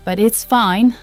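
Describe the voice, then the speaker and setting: A woman playing a betrayed, heartbroken woman in an audio roleplay, with halting, conversational delivery. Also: mid-range voice. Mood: hurt, regretful, then weary, resigned. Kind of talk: audio roleplay drama, one side of phone call.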